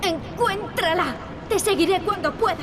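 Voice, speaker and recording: An actress playing a serious, determined young princess in a video game. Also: female voice, very high voice, moderate pace, a crowd in the background, background noise. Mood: desperate. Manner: earnest, resolute. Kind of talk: video game dubbing in Spanish.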